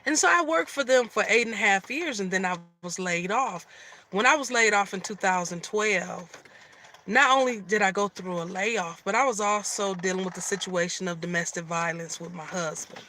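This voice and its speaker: Raspy-ish middle-aged lady vlogging live, really bright sharp tone, rambles and restarts, a little background hiss. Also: mid-range voice, normal speed, rough recording. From Michigan. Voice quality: slightly raspy. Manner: rambling, restarts sentences often. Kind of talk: casual live-stream chat.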